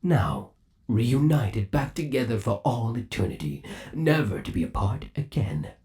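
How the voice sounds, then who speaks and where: A man's voice: medium pitch, sing-song, measured pace, dark tone. A man playing a possessive, lovesick undead animatronic rabbit boyfriend in an ASMR roleplay, cooing in a doting, theatrical and sinister way. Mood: romantic, gleeful.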